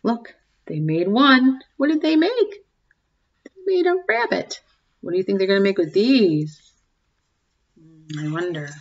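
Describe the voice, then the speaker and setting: A woman in her forties reading a picture book aloud to young children, expressively, pausing to ask them questions and comment. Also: mid-range voice, big pitch swings, muffled recording. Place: small home room, indoors. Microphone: webcam or laptop mic at desk distance.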